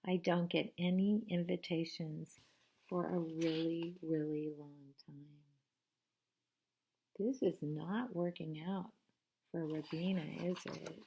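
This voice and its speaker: A woman's voice: lowish voice, steady tone, slow pace, gravelly, dark tone, a little hiss or hum, rough recording. A woman reading a picture book aloud to children, narrating expressively and turning to listeners with asides and questions.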